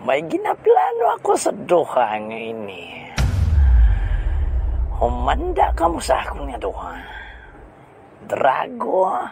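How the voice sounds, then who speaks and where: A man's voice: fairly high pitch, wildly swooping pitch, takes it slowly, vocal fry, pauses often, noisy background, heavily acted. A man with a Western Visayan Filipino accent voicing a scheming, domineering female villain boss in an audio drama, with theatrical, taunting delivery. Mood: gloating, scheming.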